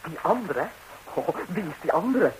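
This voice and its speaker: An actress playing an impulsive, impertinent gossiping busybody in a 1960s radio comedy, exclaiming theatrically on a hissy recording. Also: female voice, fairly low pitch, animated, normal speed, close to an everyday voice, rough recording. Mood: prying, scandalized. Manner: exclamatory, indignant, dramatically swooping delivery.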